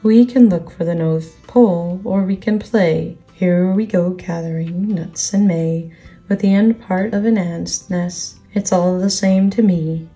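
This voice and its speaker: A woman's voice: fairly low pitch, unhurried, dark tone, faint music behind. A woman voicing a gloomy, put-upon donkey who grumbles mournfully in a children's storybook. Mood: sullen. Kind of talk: children's storybook character reading.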